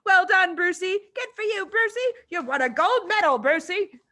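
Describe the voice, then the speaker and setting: A woman voicing excited schoolchildren in a storybook, shouting and cheering. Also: very high-pitched, normal speed, pitched above the performer's own voice. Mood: jubilant.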